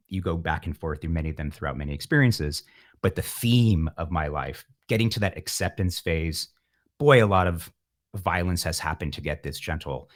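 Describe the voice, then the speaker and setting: A man's voice: deep voice, a bit bright. A middle-aged man giving reflective interview talk as a musician guest, philosophical and wry, often thinking aloud.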